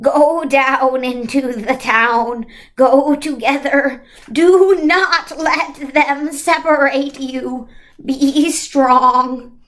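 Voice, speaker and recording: A woman's voice: high voice, extremely slow, fairly bright tone, heavily acted, low-quality recording. A woman voicing a grave, protective female guardian being from a storybook, giving emphatic commands. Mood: commanding.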